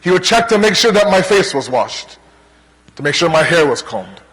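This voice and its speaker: A man from Toronto with a Canadian accent preaching to a congregation in an earnest, rhetorical manner, pausing between deliberate parallel phrases. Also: fairly high pitch, sing-song, a bit bright, slightly rough. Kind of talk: religious lecture to a congregation. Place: large hall, speaking to a gathering. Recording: long hall reverb.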